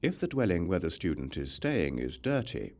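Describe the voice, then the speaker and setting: A man reading a religious text as an audiobook narrator, in measured, instructional short phrases. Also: sits low, very animated, darkish tone.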